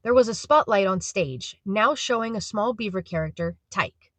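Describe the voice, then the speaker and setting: A woman's voice: medium pitch, lively intonation, normal speed, fairly bright tone. A woman reading scripted story narration for an audio drama.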